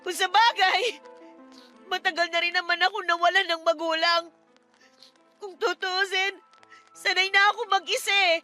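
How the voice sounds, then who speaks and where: Actress as hurt young daughter pleading in a radio drama, very high piercing voice, music underneath. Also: female voice, flat delivery, moderate pace, long pauses, pushed and bright. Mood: bitter, hurt. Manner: emotional, pleading, indignant. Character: wronged, anguished daughter confronting her mother. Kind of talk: Tagalog radio drama acting.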